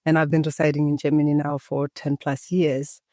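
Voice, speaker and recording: A woman from Botswana, a Setswana native speaker, guesting on a podcast and telling her story in a calm, guiding way, as if leading a meditation. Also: deep voice, a little fast, no echo, studio quality. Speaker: guest, embodiment coach. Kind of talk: podcast interview, reflective storytelling.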